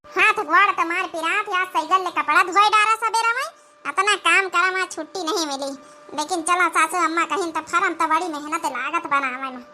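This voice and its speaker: A woman's voice: very high voice, normal speed, bright, forceful tone, slight background noise, full character voice. A woman voicing young village women of a family comedy cartoon, with an Awadhi accent and an exaggerated, chatty manner. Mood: pleased.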